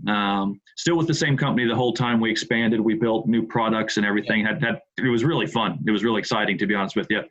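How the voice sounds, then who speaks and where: A man's voice: fairly low pitch, fast talker, few pauses, a bit bright, lo-fi sound. A middle-aged American man from the Midwest, a podcast guest chatting casually and repeating words as he starts his thoughts. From Indianapolis, USA. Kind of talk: casual interview chat.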